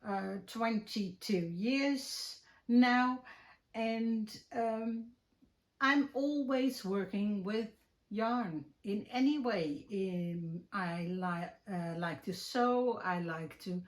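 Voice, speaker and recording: A woman's voice: mid-range voice, extremely slow. A woman with a Dutch accent chatting casually about her crochet projects at home, with lots of ums and restarts. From Netherlands. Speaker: crochet vlogger. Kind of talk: casual chat while showing crafts.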